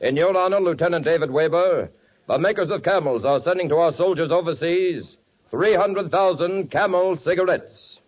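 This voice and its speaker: American male 1940s radio announcer, highish pitch. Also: normal speed. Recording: dull 1940s radio recording.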